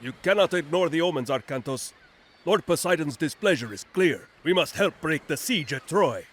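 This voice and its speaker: Earnest advisor, urging, slightly high voice, game cutscene. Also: male voice, lively intonation, normal speed, fairly bright tone. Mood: urgent.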